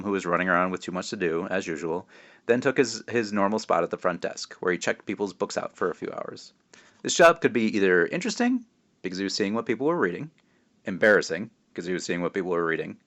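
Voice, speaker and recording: Male author-reader for a children's novel, lowish voice, expressive and wry, drops in offhand asides. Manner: expressive, self-interrupting, wry. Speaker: author reading aloud. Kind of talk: animated children's book read-aloud with casual asides.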